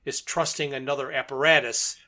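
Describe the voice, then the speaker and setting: A man's voice: mid-range voice, little pitch movement, a little fast, pushed and bright. A man co-hosting a podcast, casually recapping and discussing a story with wry, amused asides. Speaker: podcast co-host. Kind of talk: casual book and radio drama discussion.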